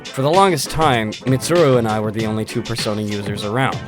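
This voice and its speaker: A man plays a confident older schoolboy, the team veteran, in an audio drama. He speaks low and businesslike over prominent background music. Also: lively intonation, quick pace, lightly acted. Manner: matter-of-fact, businesslike. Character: serious, confident male high school senior, team veteran. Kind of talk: fan audio drama acting.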